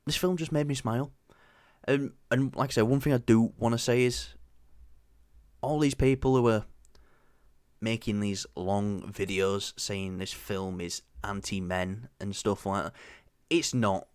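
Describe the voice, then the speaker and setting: A man's voice: on the low side, measured pace, smooth, no room sound, clean studio sound. A young man with a Yorkshire accent co-hosting a movie review show, chatting casually with lots of fillers and restarts. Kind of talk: casual chat.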